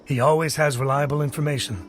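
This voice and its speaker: A man's voice: fairly high pitch, steady tone, fast talker, loud music behind the voice, natural voice. A man playing a frank, disapproving villager in a video game, with a dry, matter-of-fact delivery. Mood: scornful disbelief. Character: frank, skeptical male villager, wryly disapproving.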